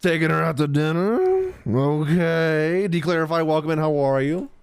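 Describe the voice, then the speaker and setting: A woman's voice: low voice, big pitch swings, normal speed, a little mellow, slight background noise. A woman giving casual gameplay reactions as a streamer, slangy and playfully exasperated. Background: game music.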